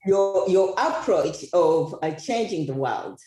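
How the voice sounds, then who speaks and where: Low-voiced woman hosting, echoey room. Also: lively intonation, slow, mellow, faint music behind. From Uganda. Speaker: interview host. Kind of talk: conversational interview. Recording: echoey, roomy sound.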